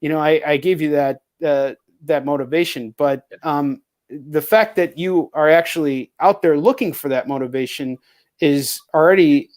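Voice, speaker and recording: A man's voice: on the high side, normal speed, a little mellow. A man hosting a casual talk show chat, speaking earnestly and swearing casually. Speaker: talk show host, comic book creator.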